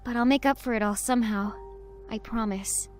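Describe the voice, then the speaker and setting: An actress plays a gentle young female survivor weighed down by guilt yet resolute. Her voice is on the high side, soft and restrained, over faint music in an audio drama. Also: normal speed, close to an everyday voice. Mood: guilty, subdued. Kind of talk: amateur audio drama acting.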